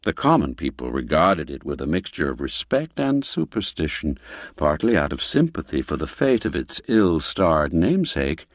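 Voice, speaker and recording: A man narrating classic literary fiction in a dramatic, deliberate and suspenseful storytelling manner. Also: sits low, dry recording, studio quality.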